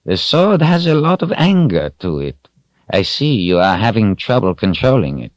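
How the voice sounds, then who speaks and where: A man voicing a calm, gentle old wizard who speaks softly and evenly, often close to a whisper. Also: fairly low pitch, no echo, highs cut off, voice pushed up. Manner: soft, measured, often near a whisper.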